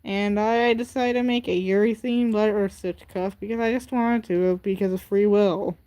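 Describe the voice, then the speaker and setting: A woman's voice: mid-range voice, steady tone, normal speed, mellow. A teenage girl chatting casually on her livestream, showing off her handmade crafts in a rambling way, full of "like" and "um". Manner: rambling, filler-heavy with many "like" and "um"; chatty and talks straight to viewers. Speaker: livestream host.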